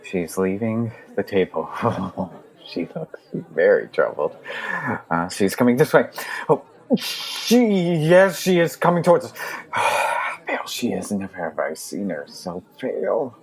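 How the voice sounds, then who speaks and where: A man's voice: on the low side, very animated, unhurried, husky, heavily acted, low-quality recording. A man playing a dreamy, lovestruck admirer of a princess in an audio drama, speaking poetically. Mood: dreamy adoration.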